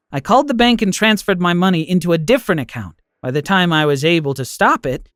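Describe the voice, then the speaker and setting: Sweet old granny done by a guy, soft and soothing, pitched way up. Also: no room sound. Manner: gentle, soothing. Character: kind forgiving grandmother, elderly woman.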